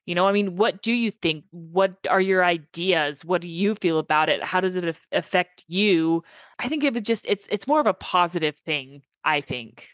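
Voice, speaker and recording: A woman's voice: on the low side, expressive, normal speed, a bit bright, no room sound, dull audio. A woman co-hosting a casual conversation, leading the discussion in a friendly way. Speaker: podcast co-host.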